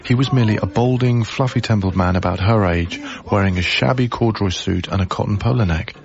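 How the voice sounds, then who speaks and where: An actor playing a reserved, observant young lodger in a radio drama, narrating in a measured, literate way. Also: male voice, on the low side, dull audio, natural voice. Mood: reflective, wry. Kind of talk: radio drama first-person narration and dialogue.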